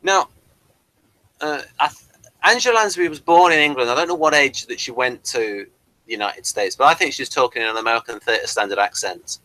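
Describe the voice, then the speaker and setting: A man's voice: mid-range voice, a little fast, sharp tone, no echo, poor audio. A man hosting a review discussion, talking in a hesitant, digressive way as he explains things. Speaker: host of a TV review show.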